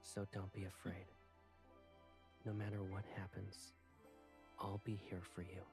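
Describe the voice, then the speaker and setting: A man's voice: sits low, monotone, a little slow. An actor playing a tender, protective young man soothing his lover in a romance game. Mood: tender, reassuring. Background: game music.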